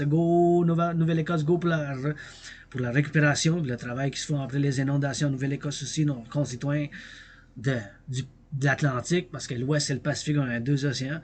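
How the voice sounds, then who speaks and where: A man from Quebec with a Québécois accent, rambling on a livestream in French and English, repeating himself and breaking into song. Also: on the high side. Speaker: vlogger. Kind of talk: bilingual rambling livestream monologue.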